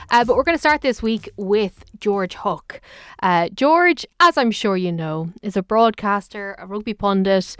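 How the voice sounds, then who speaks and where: A woman hosting a radio tech show, presenting and interviewing in a clear, friendly presenter style. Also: mid-range voice.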